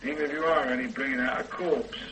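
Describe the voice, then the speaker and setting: An actor playing a wry, sardonic police sergeant in a radio drama. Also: male voice, medium pitch, steady tone, fast, bright, forceful tone, a little hiss or hum, natural voice, poor audio. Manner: dry and sardonic.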